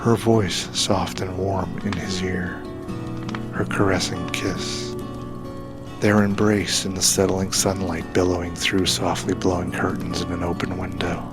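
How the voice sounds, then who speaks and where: A man narrating a dramatic scripted story in a measured, solemn way, with phrases sometimes falling hushed and breathy. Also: low voice, flat delivery. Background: background music.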